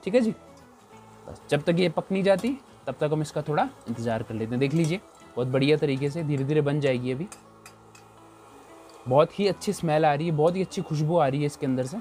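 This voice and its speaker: A man's voice: a little high, fairly quick, a little mellow, faint music behind. A man talking through a recipe, chatty and repeating himself as he shows each step. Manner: chatty, repeats "look here" often. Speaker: cooking show chef. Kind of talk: casual cooking demonstration.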